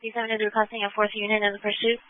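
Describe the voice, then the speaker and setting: A woman's voice: medium pitch, completely flat delivery, racing, no echo. A woman working as a police radio dispatcher, relaying traffic in a clipped, procedural way over the radio. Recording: thin phone-like police radio channel.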